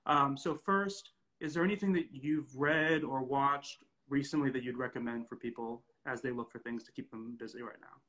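Young male interviewer, bright sharp tone, informal and conversational, reading out questions on a video call. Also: medium pitch, steady tone, normal speed, hardly any pauses, poor audio. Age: young adult. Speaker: interviewer, host. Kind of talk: casual interview chat. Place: indoor room at home, video call.

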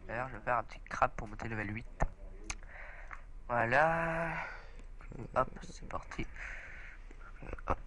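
A man's voice: medium pitch, a little slow, pauses often, a little hiss or hum. A young man, a bit stuffy from a cold, hosting a casual let's play chat and exclaiming often. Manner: excitable, chatty, often exclaims. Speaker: gaming channel host.

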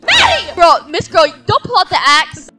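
A young woman giving excited roleplay gameplay commentary in a dramatic, exclamatory, sassy way. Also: very high-pitched, moderate pace, over music. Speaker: gaming video host and player. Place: small indoor gaming room. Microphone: headset.

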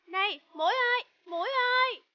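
A woman's voice: very high-pitched, expressive, normal speed, no echo. A woman voicing a small, anxious cartoon grub that calls out and pleads. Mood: anxious, pleading. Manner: pleading, calling out. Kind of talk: cartoon voice acting. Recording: dull lo-fi sound.

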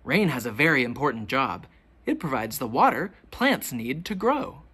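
A teacher reading a children's picture book aloud, with expressive, deliberate questions pitched to young children. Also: slightly rough. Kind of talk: children's storybook read-aloud.

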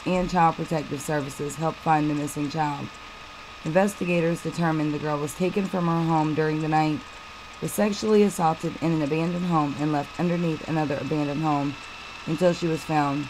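A woman commenting on a news story, talking haltingly with many fillers and restarts. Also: deep voice, background noise. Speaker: channel host reading news and commenting. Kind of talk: casual commentary over a screen recording.

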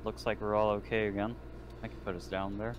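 A man with a fairly low voice chats as a player in an online roleplaying game. He is inquisitive, likes to explain and swears casually. There is slight noise on his voice call. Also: flat delivery, quick pace. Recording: faint background noise.

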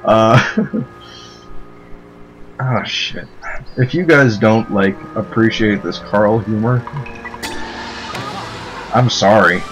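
Young male let's player, deep low voice, loose jokey rambling, faint music underneath. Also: very slow, pauses often, rough recording. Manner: joking, rambling, laughs often. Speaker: let's play commentator. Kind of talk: casual gameplay commentary.

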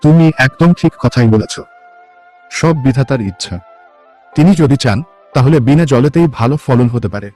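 A man playing a simple, devoted young village farmer in a cartoon, earnest and gentle. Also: medium pitch, expressive, normal speed, pauses often, close to an everyday voice. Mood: worried. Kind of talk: cartoon voice acting. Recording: music behind the voice.

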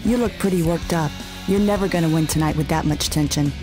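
A woman's voice: low-pitched, fast talker, music in the background, natural voice. A woman playing a flirtatious, teasing female wrestler in a video game cutscene. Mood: teasing, seductive.

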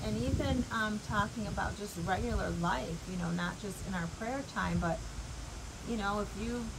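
A middle-aged woman giving a casual devotional talk and reading scripture in an earnest, encouraging, conversational way, often checking in with the listener. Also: mid-range voice. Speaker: devotional host and coach. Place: outdoors in a leafy backyard garden. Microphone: clip-on lapel mic. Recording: clear outdoor insect noise throughout. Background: crickets and insects.

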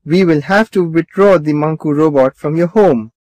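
Stern customer service man for a kids' cartoon, male actor: fairly high voice, formal, firm, closely recorded. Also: normal speed, a little mellow, no echo, natural voice.

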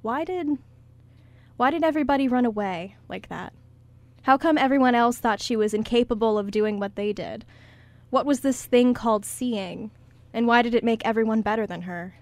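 A woman narrates a scripted story for a radio play. Her voice is a little high, and the sound is dry and close. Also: dry recording.

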